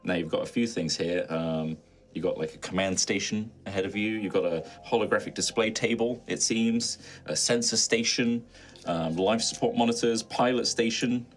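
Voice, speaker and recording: A man's voice: lowish voice, normal speed. A man acting as game master, narrating a tabletop roleplaying game and guiding the players.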